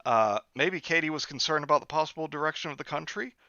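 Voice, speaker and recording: A man hosting a live stream, reading a book aloud in a flat, mocking way and breaking off for sarcastic asides. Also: on the high side, quick pace, dry recording, lo-fi sound. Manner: sarcastic asides between read passages.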